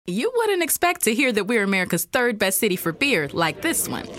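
A woman's voice: mid-range voice, very animated, fairly quick, a bit bright, no echo, clean studio sound. A woman reading an upbeat tourism ad with a playful delivery. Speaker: ad voice-over.

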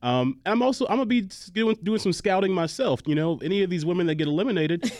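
Male panel guest, a bit high, jokey, laughs a lot, dry close mic. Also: expressive, quick pace, a little mellow, dry recording. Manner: jokey, laughing, repeats words. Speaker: TV reporter and podcast co-host, panel guest. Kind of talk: casual panel chat.

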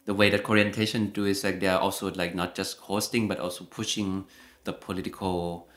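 A man's voice: low voice, little pitch movement, a little fast, a bit bright. A young man talking casually as a guest, hesitant and full of fillers and restarts. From Thailand. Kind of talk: casual conversation.

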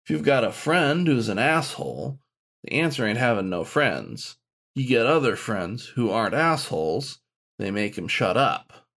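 Man voicing a blunt badger shaman mentor, animated delivery. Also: medium pitch, a little slow, heavily acted. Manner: blunt, informal, explanatory. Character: gruff, frank badger shaman mentor, male.

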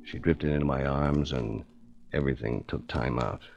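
A man's voice: very deep voice, fairly even intonation, moderate pace, gravelly, darkish tone, lo-fi sound. An actor playing a tough, wry private investigator in a 1940s American radio drama, telling his case in hard-boiled style. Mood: wry, dramatic. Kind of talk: 1940s radio detective drama acting and narration. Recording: 1940s radio recording with music.

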